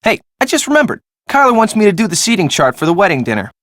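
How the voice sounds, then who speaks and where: A sarcastic young woman in a video game, casual and slangy. Her pitch swings freely as she makes her wry remarks, and the sound is close and dry. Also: big pitch swings, quick pace, no echo. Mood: defensive. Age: young adult. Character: breezy, sarcastic young woman, evasive friend of the groom. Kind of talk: video game dialogue acting.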